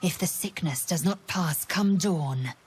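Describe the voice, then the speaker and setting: Very husky, fierce alien warrior. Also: female voice, on the low side, a little slow. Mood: cold threat. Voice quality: husky, breathy rasp. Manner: intense, clipped, menacing delivery. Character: fierce alien warrior woman, grim, proud, threatening. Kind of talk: dramatic video game acting.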